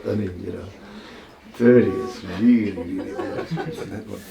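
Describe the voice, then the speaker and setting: A man's voice: low voice, takes it slowly, vocal fry, a crowd in the background. Older man teaching in an echoey temple room. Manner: conversational and wry, chuckles at his own jokes. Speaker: monk lecturer. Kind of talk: relaxed scripture class. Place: small temple room with seated listeners. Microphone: stand mic close in front. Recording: roomy echo of a small temple room.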